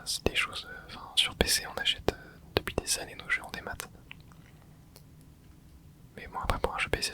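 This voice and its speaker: A young adult ASMR host whispering casual, rambling chat while playing a video game, with many hesitant fillers. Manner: soft unvoiced whisper throughout. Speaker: ASMR gaming channel host. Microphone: close mic.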